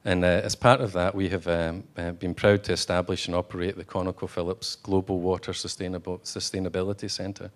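A man giving a conference speech as a company executive, halting, with many fillers and restarts. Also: low voice, little pitch movement. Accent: non-Texan. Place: hotel conference room.

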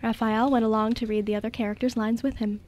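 A woman narrates a radio drama from a script. Her voice is on the high side, and she reads as a storyteller between the characters' lines. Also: flat delivery, fast, darkish tone.

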